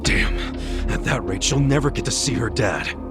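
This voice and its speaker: A man voicing a brash, big-hearted investigator in a video game, blunt and expressive. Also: mid-range voice, moderate pace, over loud music, natural voice. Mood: indignant.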